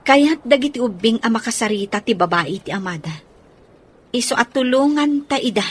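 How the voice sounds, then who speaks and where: A woman's voice: fairly high pitch, lively intonation, normal speed, breathy edge. A woman with an Ilocano accent acting in a radio drama as a caring, take-charge godmother. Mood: reassuring.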